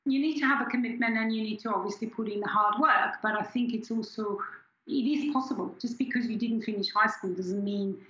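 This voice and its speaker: A woman's voice: fairly high pitch, a little fast, sharp tone, roomy sound, muffled recording. A woman in her forties from Switzerland, reflectively telling her story as an interview guest with many restarts. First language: German. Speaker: scientist guest. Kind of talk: candid personal interview.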